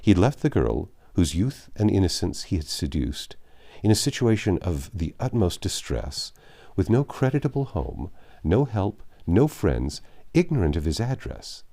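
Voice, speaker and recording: A man voicing a grave, sorrowful middle-aged English gentleman in a classic novel, speaking formally and earnestly, with some halting. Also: low-pitched, very animated, heavily acted. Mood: bitter, indignant. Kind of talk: dramatic audiobook reading of classic novel.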